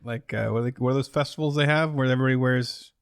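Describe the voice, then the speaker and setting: A man's voice: mid-range voice, fairly even intonation, rapid-fire, clean tone, no echo, studio quality. A man co-hosting a podcast with casual, joking banter. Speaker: podcast co-host.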